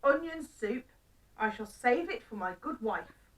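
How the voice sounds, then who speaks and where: A woman's voice: a little high, animated, unhurried, fairly bright tone, far from the mic, poor audio. A woman voicing a hungry, fussy little old man in a children's story, exclaiming and grumbling to himself. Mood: exasperated.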